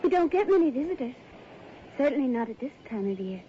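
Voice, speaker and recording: An odd, high-voiced young village woman. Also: very animated, quick pace. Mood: politely eerie. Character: odd, polite young village innkeepers' daughter. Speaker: supporting character, innkeepers' daughter. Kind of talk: old-time radio drama acting. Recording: muffled, noisy old radio recording.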